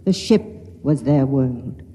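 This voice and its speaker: An actor playing the solemn voice of an ancient book, reading in an old-time radio drama. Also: male voice, mid-range voice, expressive, slow pace, mellow. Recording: very muffled, long hall reverb.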